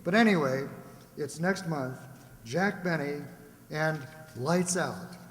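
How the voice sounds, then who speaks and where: A man's voice: fairly high pitch, slow pace. Folksy male announcer in an echoey hall. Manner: rambling, folksy asides. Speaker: announcer of a community radio-play group. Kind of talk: live stage announcing, folksy and conversational. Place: reverberant hall before a live audience. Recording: echoey hall, clearly noisy background.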